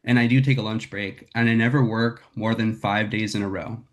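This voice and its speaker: Man, young adult, raspy from a cold, casual video call, filler-heavy. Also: lowish voice, steady tone, a little fast. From Northern California. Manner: candid, filler-heavy, says "like" and "um" a lot. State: raspy from getting over a cold. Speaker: sales rep presenting to a team.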